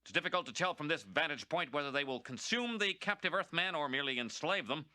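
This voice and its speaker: An actor playing a pompous, cowardly middle-aged TV news anchor in a cartoon, with a solemn, halting delivery. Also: male voice, a little high, animated, sharp tone, no room sound. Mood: grave, solemn.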